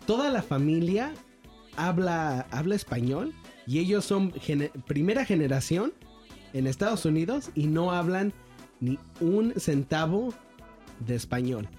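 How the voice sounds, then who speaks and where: Male co-host with a Mexican accent, fairly high voice but dark and mellow, opinionated and emphatic. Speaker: podcast co-host. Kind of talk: casual chat.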